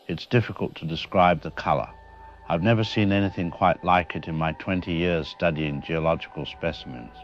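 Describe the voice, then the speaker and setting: Guy acting a middle-aged professor, really low voice, measured and reassuring, slightly muffled, radio drama interview. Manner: careful, deliberate, academic. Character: measured, reassuring male science professor, experienced expert. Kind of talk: scripted expert interview in audio drama.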